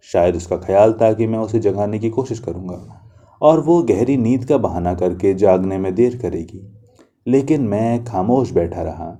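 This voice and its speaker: A man narrating a literary short story in the first person, reading in a measured, reflective manner. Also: fairly low pitch, dark tone.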